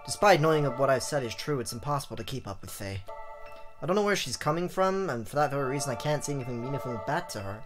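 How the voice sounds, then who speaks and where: Man, let's play commentary, excitable, jokey, dramatic read-aloud, middle-pitched, game music behind. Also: quick pace.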